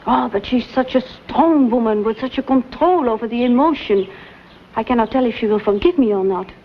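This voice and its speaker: A woman's voice: on the high side, a little fast, lo-fi sound, slight background noise, close to an everyday voice. A woman playing a fretful young French teacher. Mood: anxious. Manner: breathless, pleading, sprinkles French words. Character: flustered young French teacher, anxious and trusting. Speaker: French teacher at a girls' academy. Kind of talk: period TV drama acting.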